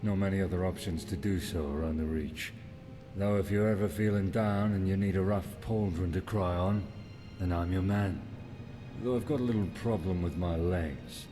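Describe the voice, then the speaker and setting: An actor playing a roguish, wry axe-wielding mercenary in a fantasy game, talking in cheeky, colloquial banter. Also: male voice, moderate pace, over music, lightly acted. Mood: wry, teasing. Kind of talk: fantasy video game dialogue. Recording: noisy rumbling background.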